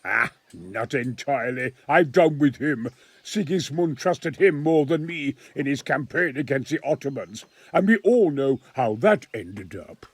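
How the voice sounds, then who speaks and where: An actor playing a fretful, paranoid and learned medieval military engineer in a video game, talking in a wordy, theatrical, agitated way. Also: male voice, medium pitch, animated, measured pace, slightly rough. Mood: courtly, eager.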